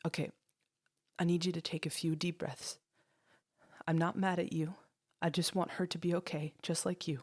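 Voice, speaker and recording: Woman playing a steady, low-voiced caring woman. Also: moderate pace, pauses often, breathy edge, dry recording, studio quality. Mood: calm concern. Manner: calm, reassuring. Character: steady, caring woman calming someone over the phone.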